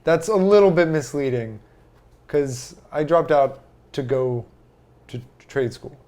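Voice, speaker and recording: A man's voice: a little high, lively intonation, unhurried, darkish tone. A young adult man hosting a podcast in a casual, chatty style. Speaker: podcast host. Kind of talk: casual chat.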